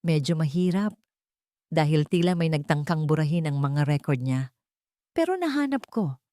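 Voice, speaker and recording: A woman's voice: low-pitched, expressive, normal speed, warm tone, no room sound, clean studio sound. A woman voicing a respectful private investigator who reports carefully in an audio story. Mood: discreet, serious. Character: deferential male private investigator reporting findings.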